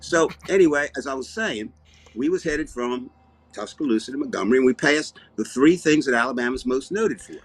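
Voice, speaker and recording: An older man with a Southern US accent and a husky voice, chatting in a folksy, rambling storytelling way with down-home grammar. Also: medium pitch, expressive, fairly quick. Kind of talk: casual bantering chat.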